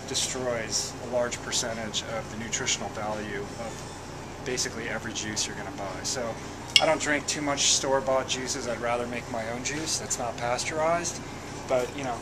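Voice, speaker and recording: Relaxed man explaining food in a noisy store. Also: medium pitch. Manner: relaxed, explanatory, loose with fillers. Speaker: athlete giving nutrition tips. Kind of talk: casual handheld grocery tour. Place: indoor grocery store, air conditioning hum. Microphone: handheld camera mic. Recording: loud store background noise.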